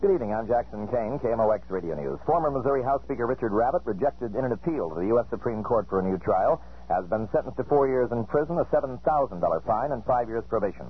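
Very fast, husky American radio newsman. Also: medium pitch, expressive. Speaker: local radio news reader. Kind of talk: rapid scripted local newscast. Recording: dull lo-fi 1970s radio broadcast.

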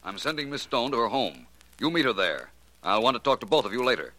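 An actor playing a suave, skeptical private investigator in a 1940s radio drama, with a crisp, formal, coolly ironic way of talking. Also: male voice, mid-range voice, big pitch swings, fast talker, pushed and bright, no room sound. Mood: brisk, grave. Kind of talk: 1940s radio detective acting. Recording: vintage 1940s radio recording.

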